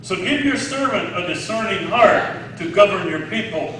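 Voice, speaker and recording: A man's voice: high-pitched, lively intonation, moderate pace, fairly bright tone, slight background noise. Man in his sixties preaching in an echoey hall. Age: 60s. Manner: conversational preaching, asks the congregation questions. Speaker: pastor. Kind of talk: sermon. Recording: echoey hall, distant mic.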